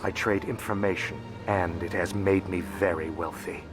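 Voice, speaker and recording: A man's voice: low voice, steady tone, normal speed, close to an everyday voice. A man playing a shrewd, courteous alien financier in a video game, speaking formally and discreetly over music. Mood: confiding. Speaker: financial advisor and information dealer character. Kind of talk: video game dialogue acting. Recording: music behind the voice.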